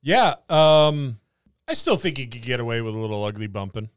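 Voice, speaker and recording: A man's voice: mid-range voice, lively intonation, a little fast, clean tone, no room sound, studio quality. A man co-hosting a podcast, chatting casually about tabletop roleplaying in a rambling, jokey way. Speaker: podcast co-host.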